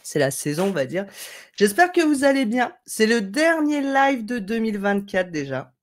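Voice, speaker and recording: A woman's voice: fairly high pitch, big pitch swings, moderate pace. A woman hosting a casual live tutorial from home, reading chat comments in a chatty, digressive way, with a slight head cold. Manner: chatty, digressive, friendly, thinking aloud with fillers. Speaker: live stream host. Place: home room at a desk, indoors.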